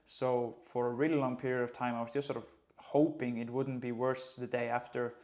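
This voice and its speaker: A man in his late twenties from Iceland, a running coach speaking English with an Icelandic accent in a relaxed, conversational vlog. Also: mid-range voice, a little fast. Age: late 20s. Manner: relaxed, conversational, light laughs. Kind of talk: casual vlog talk to camera.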